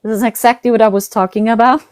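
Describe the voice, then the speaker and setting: A woman speaking English with a German accent, her first language being German. Her voice is smooth and resonant, recorded dry with no room sound. She rambles casually, repeating herself and leaning on "you know". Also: a little high, fairly even intonation, fast talker, dry recording. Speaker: psychic medium host. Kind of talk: casual conversation.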